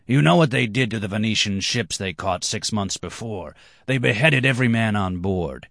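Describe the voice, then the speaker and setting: A man's voice: fairly low pitch, expressive, moderate pace, vocal fry, fairly bright tone, no echo, studio quality, voice pushed up, heavily acted. A man voicing a drunken, boastful, hot-tempered red-haired banker. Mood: excited.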